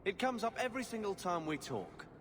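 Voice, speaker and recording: An actor playing a sulky, flustered young adult brother. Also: male voice, sits high, very animated, brisk, a bit bright, long reverb tail, a little hiss or hum.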